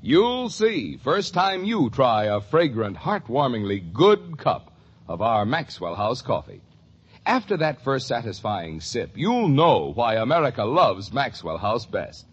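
A man's voice: medium pitch, big pitch swings, measured pace, breathy edge. A man reading a scripted commercial as a 1950s radio announcer. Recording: muffled old radio broadcast recording.

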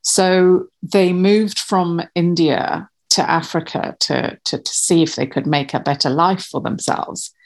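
A woman's voice: on the low side, steady tone, a little slow, few pauses. A woman in her fifties from Malawi, a podcast guest talking about her family, hesitant with many fillers and restarts. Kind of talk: casual interview chat.

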